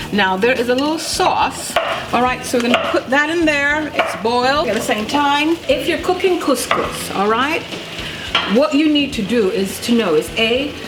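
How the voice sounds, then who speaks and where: A woman's voice: fairly high pitch, very noisy. A woman of about fifty hosting a casual cooking demonstration, chatty and emphatic, tossing questions at the listener. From Jamaica. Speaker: cooking show host. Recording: roomy echo, loud music behind. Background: music, clattering pots, stirring.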